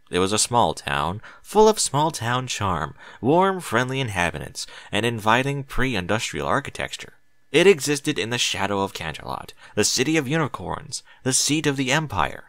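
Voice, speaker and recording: Animated deep-voiced male storyteller. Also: dry recording. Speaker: audiobook narrator of fan fiction. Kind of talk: scripted narration of a story.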